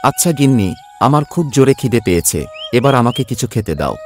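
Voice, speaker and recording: A man's voice: mid-range voice, expressive, fast, soft background music, natural voice. A man playing a hardworking poor vegetable farmer and doting father in a cartoon, earnest and good-natured. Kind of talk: Bengali cartoon voice acting.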